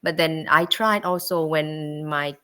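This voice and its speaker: Low-voiced Thai-born woman, hesitant. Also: slow, bright, forceful tone. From Thailand. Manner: hesitant, many fillers and restarts, laughs often. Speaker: interview guest. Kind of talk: casual interview chat.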